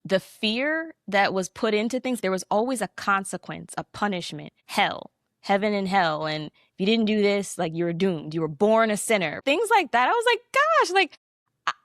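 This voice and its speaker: A young adult woman sharing her story in an interview, animated and reflective, her voice leaping upward when she quotes her younger self. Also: mid-range voice, moderate pace, no echo, studio quality. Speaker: podcast guest.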